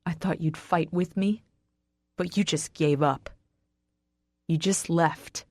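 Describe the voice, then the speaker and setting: A woman's voice: lowish voice, moderate pace, natural voice. A woman narrator voicing a bitter, wounded, hot-tempered man in an audiobook, with intense delivery. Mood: broken, anguished.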